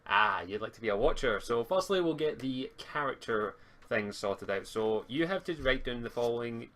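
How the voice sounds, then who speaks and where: Male game master and stream host, mid-pitched, walking players through tabletop rules over a voice call. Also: animated, normal speed, a bit bright. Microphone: voice call on a live stream.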